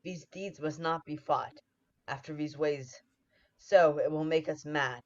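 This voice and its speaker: A woman's voice: deep voice, measured pace. A ruthless scheming noble lady. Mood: commanding. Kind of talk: 1940s radio acting. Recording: dull lo-fi sound.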